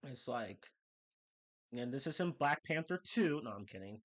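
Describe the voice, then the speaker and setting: A man's voice: mid-range voice, lively intonation. Rambling congested young man streaming. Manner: rambling, restarts and laughs, quick bursts. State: slightly congested from a cold. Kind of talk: casual live-stream chat.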